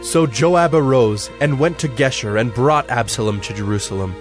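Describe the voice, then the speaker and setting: Guy narrating scripture from a script, medium pitch, music playing behind him. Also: moderate pace.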